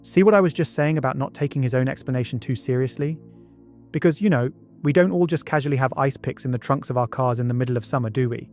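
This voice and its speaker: A man's voice: medium pitch, fast talker, a little mellow. A man narrating a true-crime documentary from a script, with conversational asides. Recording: music bed behind the voice. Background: background music.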